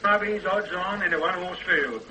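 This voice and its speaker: An actor playing a wry, sardonic police sergeant in a radio drama. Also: male voice, sits high, brisk, bright, forceful tone, slight background noise, low-quality recording. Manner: dry and sardonic.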